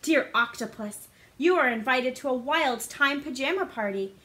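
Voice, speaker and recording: A woman reading a storybook aloud to young children in an animated, sing-song way, often putting questions to the listeners. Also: high-pitched, moderate pace. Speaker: children's read-aloud presenter. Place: living room indoors. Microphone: device camera a short distance away.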